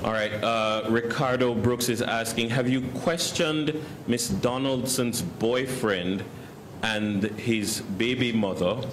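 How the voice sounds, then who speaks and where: A man moderating a press briefing, formally relaying journalists' questions in a measured, official manner. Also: mid-range voice. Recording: noisy online press briefing audio.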